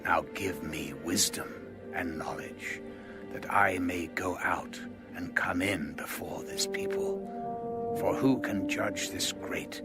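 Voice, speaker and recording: A humble king in an audio Bible drama. His deep voice is earnest and reverent, with music clearly heard behind it. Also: animated, slow pace, heavily acted.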